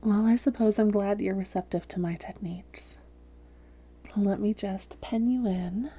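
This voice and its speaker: A woman playing a seductive, domineering vampire posing as a therapist in an intimate roleplay audio, speaking softly and deliberately with frequent whispering. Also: mid-range voice, steady tone. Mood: soothing, professional. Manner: soft, breathy, often whispering, deliberate. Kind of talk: intimate roleplay audio drama, hypnotic delivery.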